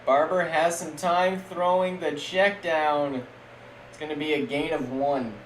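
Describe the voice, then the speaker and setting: A young man streaming casual, jokey play-by-play of a simulated football league, getting loud on big plays. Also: a little high, fairly even intonation, a little slow. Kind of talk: live-stream game commentary. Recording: echoey room with faint background noise.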